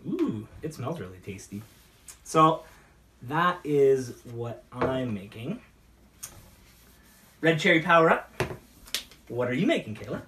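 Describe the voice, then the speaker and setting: A woman's voice: extremely low pitch, sing-song, takes it slowly, long pauses, fairly bright tone, a little hiss or hum. A woman co-hosting a talk show, chatting in a jokey, giggly way while mixing drinks.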